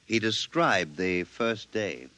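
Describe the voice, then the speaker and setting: An actor playing a formal, methodical psychiatrist probing and reporting a case, in 1950s radio drama style. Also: male voice, lowish voice, lively intonation, takes it slowly, sharp tone, heavily acted. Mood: clinical, probing. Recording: vintage radio broadcast recording.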